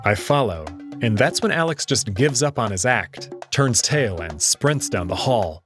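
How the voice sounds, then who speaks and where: A man narrates a visual novel as its host. His mid-pitched voice is animated and dramatic, reading scripted story text over a clear music bed. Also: a little slow. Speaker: host and reader of a game playthrough. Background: background music.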